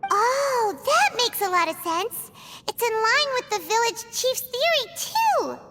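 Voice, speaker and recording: A tiny, naive fairy girl from a video game, squeaky and extremely high. She is cutesy and excitable, with swooping intonation, over loud music. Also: unhurried, full character voice. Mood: curious, puzzled. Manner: squeaky, cutesy, refers to herself by name.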